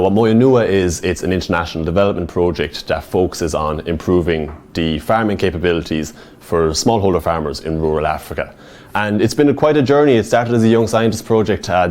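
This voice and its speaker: Fast-talking rural West Limerick Irish man. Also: deep voice, darkish tone. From Ireland. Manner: earnest, enthusiastic, with filler ums. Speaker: university student. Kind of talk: open day talk to prospective students.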